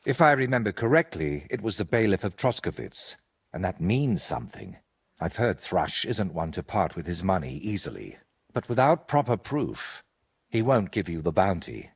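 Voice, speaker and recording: A low, husky older man, a troubled medieval painter in a video game. His speech swings widely in pitch, earnest and haunted, weighed down by guilt and desperation. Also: very animated, moderate pace, heavily acted. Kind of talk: video game dialogue acting.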